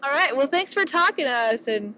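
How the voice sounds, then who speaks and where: A woman's voice: sits high, lively intonation, a little fast, sharp tone, a little hiss or hum. A woman interviewer asking questions in a casual, conversational way, recorded outdoors. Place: outdoors on a hotel rooftop by a pool.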